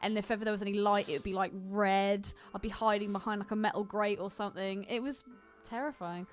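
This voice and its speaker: A woman co-hosting a podcast in casual film chat, with an animated, jokey and sweary way of talking. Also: medium pitch, fast talker, faint music behind. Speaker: podcast co-host.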